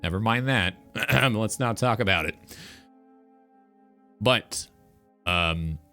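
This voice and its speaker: A man in his twenties hosting a talk show, speaking in an earnest, philosophical, halting monologue. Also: lowish voice, animated, sharp tone, soft background music. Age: 20s. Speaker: talk show host, game streamer.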